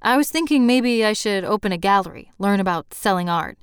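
A woman's voice: mid-range voice, animated, brisk, smooth, no echo, studio quality, close to an everyday voice. Lady reader as mild easygoing dude in a novel, bit hesitant, clean voice. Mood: casual, teasing. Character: easygoing, hedging man nicknamed Swifty. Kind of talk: audiobook character dialogue.

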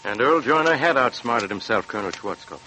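A man's voice: medium pitch, expressive, fairly quick, bright, forceful tone. A man, a police superintendent, reading a scripted account of a case on 1940s radio. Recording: noisy, clicking 1940s radio recording.

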